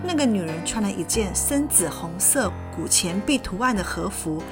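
A woman with a Taiwanese Mandarin accent narrating a storybook for children in a gentle, even read-aloud manner. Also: medium pitch, normal speed. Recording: faint music underneath.

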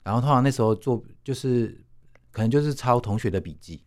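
A man's voice: mid-range voice, fairly quick, darkish tone. A man in his forties with a Taiwanese Mandarin accent, casually explaining and recalling things as an interview guest, in a modest, colloquial way. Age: 40s. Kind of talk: casual conversational recollection.

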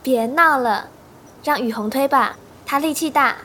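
A good-natured young woman in a radio drama. The voice is very high, casual and lightly joking, with faint ambient noise around it. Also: a little slow, pushed and bright. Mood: easygoing. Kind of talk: audio drama acting.

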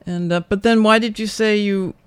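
A mature woman hosting a casual interview, thinking aloud in halting questions that often trail off. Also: medium pitch, fairly even intonation, clear, resonant tone, no room sound. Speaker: radio interview host.

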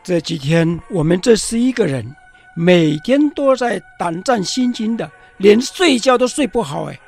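An actor playing an anxious, frightened disciple in a radio Bible drama, with a husky, creaky voice. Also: male voice, sits high, big pitch swings, measured pace, a little mellow, faint music behind, exaggerated voice. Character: Peter, anxious, frightened male disciple in hiding.

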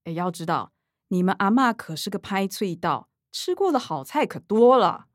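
A woman's voice: mid-range voice, very animated, moderate pace, no room sound, studio quality. A woman playing a middle-aged, self-righteous, image-conscious daughter in a radio drama, earnest and scolding, with a Taiwanese accent. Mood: curious, skeptical.

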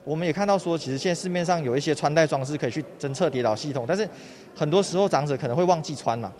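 A man's voice: a little high, very fast, darkish tone. A man from Malaysia, a student interviewee, explaining things conversationally in an interview. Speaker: student interviewee, former nurse.

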